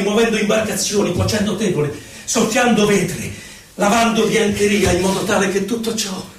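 High-pitched anguished poet played by a man, echoing. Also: slight background noise, lightly acted. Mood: exalted. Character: anguished male poet on an inward journey, impassioned. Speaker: stage actor. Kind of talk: theatrical poetry recitation. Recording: very echoey hall, distant microphone.

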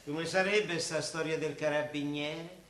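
A man's voice: on the high side, steady tone, a little slow, a bit bright. An actor playing a blunt, suspicious, needling Roman working-class man in a naturalistic dialect radio drama. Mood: teasing, suspicious. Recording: roomy echoing sound, faint noise.

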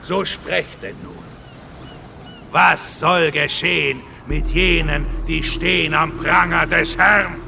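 A man's voice: fairly high pitch, fairly even intonation, slow, creaky, noisy background, full character voice. A man playing a fanatical preacher in a horror radio drama, declaiming scripture in a solemn, incantatory way to his flock. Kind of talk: declamatory biblical sermon.

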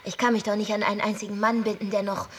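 An actress playing an indignant, self-centred bride in a German radio play. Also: female voice, medium pitch, level tone, fast talker, a little hiss or hum, lightly acted. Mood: aggrieved. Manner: indignant, demanding.

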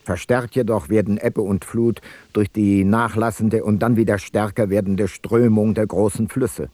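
An actor playing an ancient Greek explorer-scholar, reading his logbook in a measured, factual radio-play narration. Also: fairly low pitch, steady tone, mellow, dry recording, close to an everyday voice. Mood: matter-of-fact.

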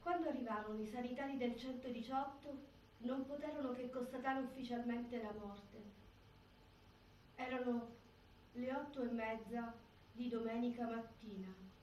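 An actress playing a sober prison doctor in a stage drama, giving measured, formal, clinical testimony. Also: female voice, on the high side, darkish tone, lo-fi sound, natural voice. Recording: roomy theatre, distant mic, faint noise.

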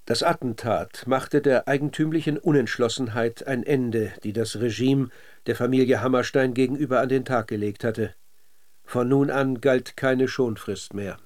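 A male narrator with a standard German accent and a medium-pitched voice. He reads scripted documentary drama for radio, measured and factual throughout. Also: fairly even intonation, darkish tone.

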